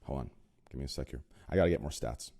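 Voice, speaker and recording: A man's voice: very deep voice, quick pace, creaky, warm tone. A man hosting a podcast, introducing guests with rambling, self-conscious jokes and lots of fillers. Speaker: podcast host. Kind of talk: casual chat, joking guest introductions.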